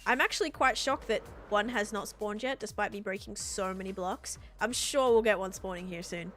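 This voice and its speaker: A woman's voice: mid-range voice, normal speed, fairly bright tone. A woman chatting casually with viewers during a gaming live stream, thinking aloud in an informal way as she plays. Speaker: live streamer playing a video game. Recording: music behind the voice. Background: background music.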